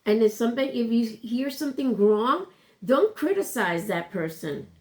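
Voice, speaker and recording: A woman's voice: a little high, lively intonation, normal speed, a little mellow. A woman co-hosting a podcast in casual conversation, blunt and frank in her opinions. She often hesitates, with "um" and unfinished thoughts. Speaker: podcast co-host. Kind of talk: casual chat.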